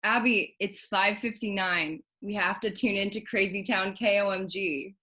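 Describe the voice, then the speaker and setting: A woman's voice: medium pitch, fairly even intonation, moderate pace, bright, forceful tone, close to an everyday voice. A woman playing an earnest, easily impressed news viewer in scripted radio play dialogue.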